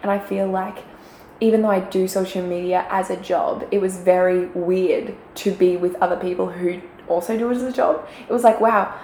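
A young woman with a croaky, gravelly voice talks chattily, filling her sentences with little fillers and giggles. The room around her is echoey, with a faint hiss of background noise. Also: lowish voice, steady tone, normal speed, a little mellow. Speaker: podcast co-host. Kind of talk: casual chat. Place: quiet room, shared mic.

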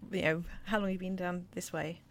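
A woman's voice: on the low side, fairly even intonation, brisk, a little hiss or hum. A woman who hosts a casual interview, chatty, laughing easily and filling in with frequent yeahs. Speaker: podcast host.

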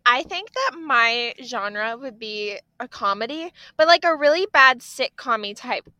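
Chatty young woman, bright and a bit high, super eager, lots of little gasps and laughs. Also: lively intonation, measured pace, no room sound. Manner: enthusiastic, gasps and laughs often. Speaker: podcast co-host. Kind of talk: casual chat.

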